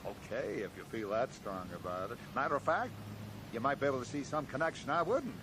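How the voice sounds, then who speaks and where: An actor playing a kindly, middle-aged American small-town sheriff in a radio drama, speaking in a folksy, hesitant way. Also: male voice, mid-range voice, sing-song, brisk. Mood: genial, hospitable. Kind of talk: 1980s radio drama acting. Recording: noisy 1980s radio drama recording.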